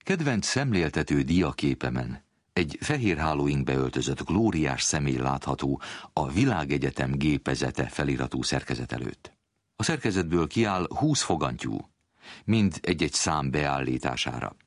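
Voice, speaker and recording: A man reading a written book excerpt in a measured, precise scripted delivery. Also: extremely low pitch, lively intonation, dry recording, clean studio sound.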